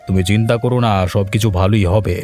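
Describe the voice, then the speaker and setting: A man voicing a kindly village headman in a cartoon, calm, fatherly and reassuring. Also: fairly low pitch, fast, faint music behind, natural voice. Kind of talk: cartoon voice acting.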